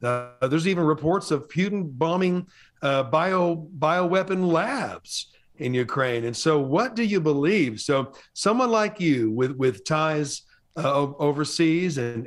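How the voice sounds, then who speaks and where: A middle-aged man hosting an interview from a home studio, talking quickly and animatedly, often stumbling over his words. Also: a little high. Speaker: host. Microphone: studio mic on a boom.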